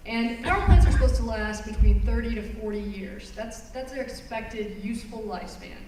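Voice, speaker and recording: A woman's voice: fairly high pitch, moderate pace, dark tone, slight background noise. Woman speaking in an echoey hall. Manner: earnest, explanatory, paces phrases for emphasis. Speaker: environmental lawyer giving a talk. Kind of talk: public presentation. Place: conference hall. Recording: roomy hall recorded from far off.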